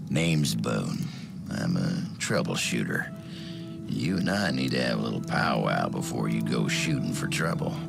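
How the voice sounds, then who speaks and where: An actor playing a folksy cowboy troubleshooter with a gravelly drawl. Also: male voice, extremely low pitch, heavily acted. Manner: folksy, laconic. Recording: game score playing loudly behind.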